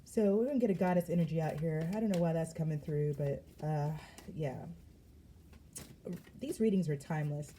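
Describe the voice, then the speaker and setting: Woman tarot reader, low dark voice, slangy and emphatic, faint background noise. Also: moderate pace. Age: adult. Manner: lively, slangy and emphatic, with frequent laughs. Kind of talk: casual spiritual reading vlog.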